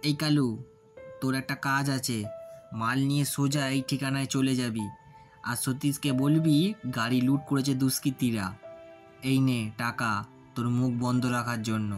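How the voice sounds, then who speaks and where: A man's voice: mid-range voice, steady tone, normal speed, long pauses, natural voice. A man playing a greedy, two-faced, suspicious business partner in a cartoon story. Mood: conspiratorial. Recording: music under the voice.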